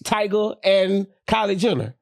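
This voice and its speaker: Brash, profane man with a high voice. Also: lively intonation, creaky, no room sound, clean studio sound. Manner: brash, profane and quick to agree. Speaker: podcast co-host. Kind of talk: casual chat.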